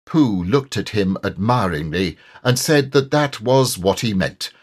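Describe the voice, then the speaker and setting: A man reads a children's story aloud at an unhurried pace. His pitch is medium and very animated, with a slightly husky edge to the narration. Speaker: audiobook narrator and voice actor.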